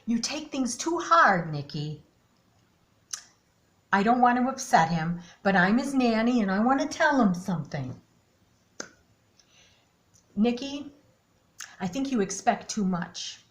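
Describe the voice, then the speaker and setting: A woman performing a stage monologue as a loving, plain-spoken elderly grandmother who speaks earnestly and haltingly as she gently chides her grandson. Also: on the high side. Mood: fond, chiding.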